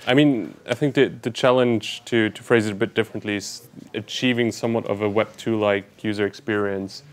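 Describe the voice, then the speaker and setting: A man speaking as a panelist on partnerships, measured and explanatory. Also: lowish voice, fairly even intonation. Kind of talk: conference panel talk.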